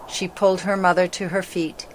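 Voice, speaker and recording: A woman's voice: on the low side, little pitch movement, normal speed, slight background noise, rough recording. A female narrator reading a scripted children's story in a dramatic storytelling style.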